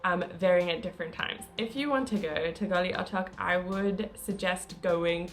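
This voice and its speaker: A woman's voice: fairly low pitch, moderate pace, faint music behind. Chatty woman travel vlogger. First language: not Croatian. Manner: chatty, with small laughs and fillers. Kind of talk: casual travel vlog narration.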